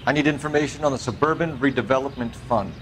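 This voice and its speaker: An actor playing a brisk, stern 1940s police detective in a video game, asking clipped, businesslike questions. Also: male voice, medium pitch, noisy background, lightly acted. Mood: brisk, commanding. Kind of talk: video game cutscene acting. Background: background music.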